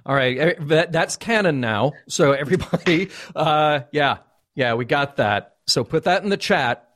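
A man's voice: fairly high pitch, animated, measured pace, a bit bright. A man hosting a casual fan discussion, agreeable and thinking aloud as he talks. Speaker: podcast host.

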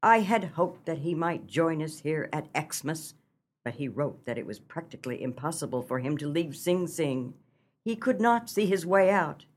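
A woman's voice: deep voice, animated, moderate pace. An actress playing a kindly, fretful old farmer's wife in a comic radio melodrama, earnest and motherly in a theatrical way. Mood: wistful.

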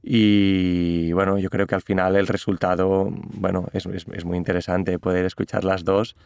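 A man's voice: low-pitched, little pitch movement, moderate pace, gravelly, a little mellow, smooth, no echo, clean studio sound. A man with a Catalan accent, a musician answering interview questions in a thoughtful, hesitant way with many restarts. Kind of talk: interview conversation.

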